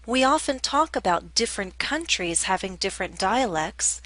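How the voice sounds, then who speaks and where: An American woman teaching English pronunciation, speaking in a measured, clear way with a General American accent. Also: mid-range voice, lively intonation, pushed and bright, no echo, poor audio. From United States. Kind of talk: scripted lesson narration.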